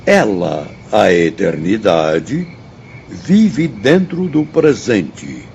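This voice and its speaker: An actor with a Brazilian accent playing a learned, courteous spirit teacher in a radio drama, speaking in a formal, measured, didactic way. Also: male voice, on the low side, very animated, darkish tone, heavily acted. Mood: solemn, didactic. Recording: dull audio, faint noise, night crickets.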